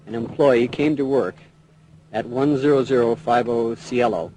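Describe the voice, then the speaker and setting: A man reading a news report in a measured, emphatic way. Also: mid-range voice, dark tone, a little hiss or hum, natural voice, low-quality recording. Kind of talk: English-language news report narration.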